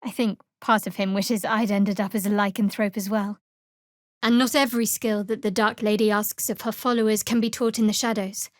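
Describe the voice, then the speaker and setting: A woman voicing a guarded, secretive young cleric of a dark goddess in a fantasy video game, with a measured, dryly cool delivery. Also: mid-range voice, level tone, dry recording, clean studio sound, close to an everyday voice. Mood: calm, composed. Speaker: video game character actor.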